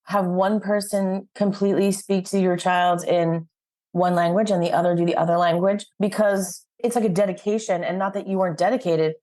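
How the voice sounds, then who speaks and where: A woman's voice: on the low side, fairly even intonation, normal speed, mellow. A woman hosting a podcast, speaking conversationally, affirming what her guest says and summing up before she asks questions. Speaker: podcast host, interviewer. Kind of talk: conversational interviewing.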